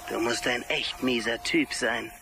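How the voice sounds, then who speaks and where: An actor playing a smug, malicious advertising program that congratulates its victims with mocking glee in an audio drama. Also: male voice, mid-range voice, steady tone, unhurried, vocal fry. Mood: gleeful mockery. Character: smugly cheerful malicious advertising plug-in voice.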